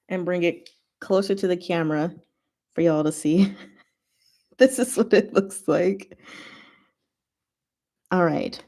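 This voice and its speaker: A woman's voice: on the low side, a little mellow. A woman hosting a casual livestream, chatting with viewers in short, giggly asides. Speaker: livestream host.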